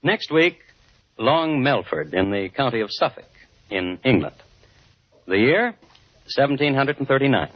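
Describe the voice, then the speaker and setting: A deadpan, formal host of grim crime tales narrates in 1950s radio style. The voice is husky with an animated pitch, on a dull vintage recording. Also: male voice, a little slow, long pauses. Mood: dry, measured. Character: dry, measured male crime historian hosting grim tales.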